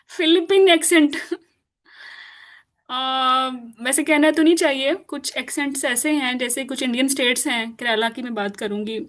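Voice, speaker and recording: A woman's voice: high-pitched, a bit bright. A woman whose first language is Urdu, teaching an informal online class in a chatty way, laughing often and mixing in English. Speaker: course teacher.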